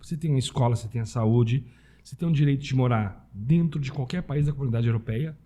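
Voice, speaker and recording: Podcast guest: Brazilian man, husky and mellow, informal conversational explainer. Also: medium pitch, fast. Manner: informal, anecdotal, peppered with tag questions. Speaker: podcast guest, Italian citizenship specialist. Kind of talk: casual conversational interview.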